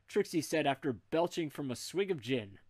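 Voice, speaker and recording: A man's voice: fairly high pitch, big pitch swings, normal speed, dry recording. A man narrating a comic story as he reads it aloud. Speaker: narrator, reader.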